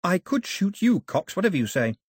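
A man voicing a bold, sharp-tongued young girl with an urgent, challenging manner in an audiobook story. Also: sits high, sing-song, a little fast, breathy edge, no echo, studio quality, pitched above the performer's own voice, heavily acted. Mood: urgent, accusing.

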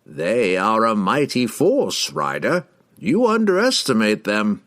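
A man voicing a raspy, smug and ambitious wizard in a storybook, with a grandiose, courtly and drawn-out delivery. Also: fairly low pitch, wildly swooping pitch, a bit bright, no room sound, studio quality, exaggerated voice. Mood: amused, confident.

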